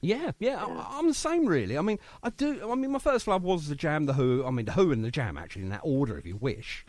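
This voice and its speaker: Animated, chatty male radio host. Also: on the high side, fast talker, no room sound. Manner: chatty, enthusiastic, effusive. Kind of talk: casual music interview chat.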